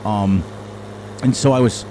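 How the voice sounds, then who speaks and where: A middle-aged man with a loud voice, rambling and thinking aloud as he comments casually on his gameplay into a desk microphone. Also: on the low side, a little slow. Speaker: gaming channel host. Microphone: desk microphone turned down low. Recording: game engine noise behind.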